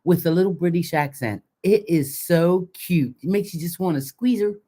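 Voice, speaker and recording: This older woman co-hosts a relaxed live chat. Her voice sits slightly low, and she tells stories with animated energy and frequent laughter. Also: moderate pace. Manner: animated storyteller, laughs often. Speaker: livestream co-host. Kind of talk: casual chat.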